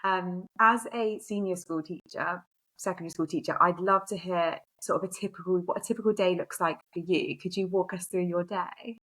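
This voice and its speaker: A woman co-hosting a podcast, her voice hoarse and nearly gone, clearing her throat between points. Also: on the low side, fairly even intonation, normal speed, a bit bright, poor audio. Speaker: podcast co-host, secondary teacher. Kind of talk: casual podcast chat.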